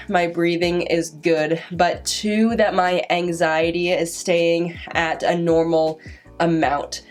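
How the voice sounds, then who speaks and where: A young woman in her twenties talks slowly to her camera, catching on the first sounds of words and restarting them as she stutters. Her voice sits a little low for a woman. Soft music plays faintly underneath. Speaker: vlogger. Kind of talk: casual vlog talk to camera.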